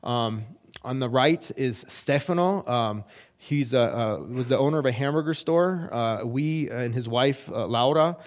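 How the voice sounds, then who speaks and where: A middle-aged man, a missionary, giving a halting, earnest report to a church with many fillers and restarts. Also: medium pitch. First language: English.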